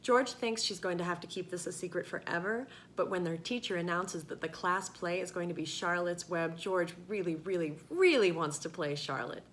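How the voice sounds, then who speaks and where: A woman presenting a book in clear scripted narration. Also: fairly low pitch, moderate pace, few pauses, slight background noise.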